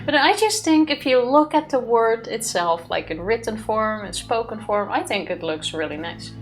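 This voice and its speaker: A woman's voice: fairly high pitch, animated, normal speed, music in the background. A young woman from the Netherlands, rambling and giggling while streaming a game. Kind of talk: casual let's play chat.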